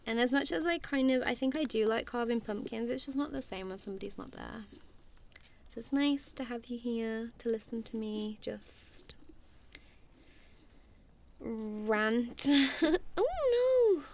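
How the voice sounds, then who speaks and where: Woman acting out goofy affectionate female friend, ASMR roleplay, crackly vocal fry, playful rambling chat, faint background noise. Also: fairly high pitch, expressive, unhurried, darkish tone. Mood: affectionate, sincere.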